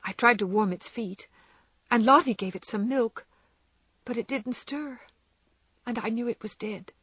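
A woman voicing a gentle, frail young girl who speaks softly, often near a whisper. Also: on the high side, unhurried, slightly rough, no echo, pitched above the performer's own voice. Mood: sad.

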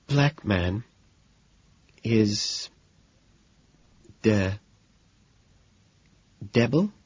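A man voicing a composed, soothing woman in an audiobook, gently concerned and quietly amused, with soft questions. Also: mid-range voice, very animated, unhurried, breathy edge, voice pushed up. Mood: hesitant. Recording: dull, lo-fi, roomy sound.

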